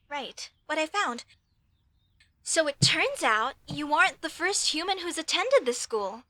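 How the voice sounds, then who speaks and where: Woman acting as young elf mage, female, high-pitched, bright, earnest, apologetic, hesitant asides, comedy audio roleplay. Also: no room sound, full character voice. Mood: chatty, upbeat. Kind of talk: comedy audio roleplay, speaking softly to the listener.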